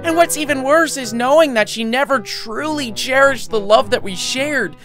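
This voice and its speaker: A man's voice: very high-pitched, moderate pace, fairly bright tone, faint music behind, voice pushed up. Man playing a melodramatic, heartbroken young student. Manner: melodramatic, sardonic grumbling. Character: heartbroken, self-pitying, foul-mouthed young male art student.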